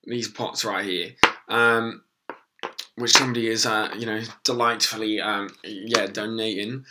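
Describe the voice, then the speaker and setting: A young man, hoarse from losing his voice, rambling casually through a live stream with lots of ums and swearing. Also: on the low side, little pitch movement, a little slow, very noisy. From England.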